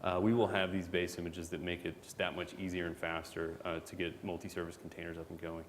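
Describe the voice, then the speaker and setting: A man giving a relaxed, joking conference talk as a product manager, full of fillers. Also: sits low, fairly quick. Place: conference hall. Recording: hall reverb, faint background noise.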